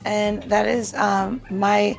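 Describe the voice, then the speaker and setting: A woman's voice: mid-range voice, little pitch movement, takes it slowly, vocal fry, soft background music. A woman hosting a podcast, chatting informally as she talks through mixing a drink, often checking in with the listener. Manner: chatty, informal, frequent "like" and "right?". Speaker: podcast host and bartender. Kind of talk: casual chat, talking through a cocktail demonstration.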